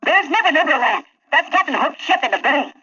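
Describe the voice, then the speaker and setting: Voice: high voice, sing-song, fairly quick, sharp tone, muffled recording, heavily acted. A performer playing a brave, cocky young boy, eager and exclamatory, in a theatrical children's story recording. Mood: excited.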